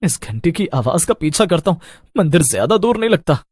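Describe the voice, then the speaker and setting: A man playing a frightened, bewildered traveller lost at night in an animated horror story, anxious and hesitant. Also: fairly high pitch, fairly quick, husky, heavily acted. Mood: uneasy.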